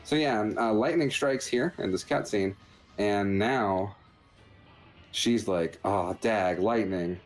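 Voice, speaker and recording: A man's voice: on the low side, takes it slowly, soft background music. A man casually commentating over gameplay footage, explaining things in a joking, meandering way. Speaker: podcast host reviewing a video game.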